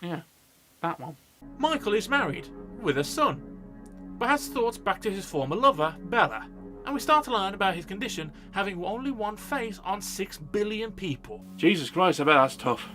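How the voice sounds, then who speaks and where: Guy with highish voice narrating a jokey movie review, sarcastic, swears a lot, music behind. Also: sing-song, moderate pace. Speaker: film review video host. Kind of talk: scripted comedic film review narration.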